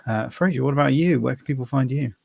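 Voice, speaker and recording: A male co-host chats casually on a podcast. His mid-pitched voice has a noticeable fry. The sound is dry and close, without audible room. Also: quick pace, darkish tone, no room sound.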